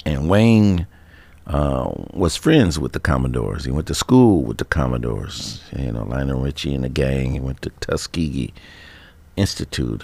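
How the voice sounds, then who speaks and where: A man's voice: a bass voice, gravelly, darkish tone, no echo. A man hosting a radio show, talking casually between songs in a playful, chatty, rambling way from a studio microphone.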